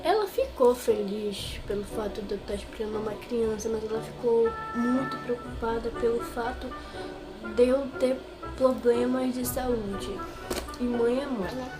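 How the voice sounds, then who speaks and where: A young Brazilian woman vlogging casually, with a Brazilian Portuguese accent and a somewhat high voice. She rambles along with plenty of fillers, talking straight to her viewers. Background music plays clearly under her voice. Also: a little slow, low-quality recording. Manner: chatty, rambling, addresses viewers directly with frequent fillers. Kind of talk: casual personal storytelling to camera.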